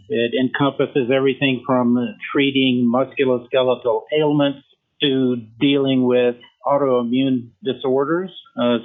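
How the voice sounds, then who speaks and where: An older man from Memphis whose first language was French, a physician guest in a podcast interview, speaking in a measured, formal way with frequent pauses and fillers. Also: mid-range voice. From Memphis, Tennessee. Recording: tinny phone line.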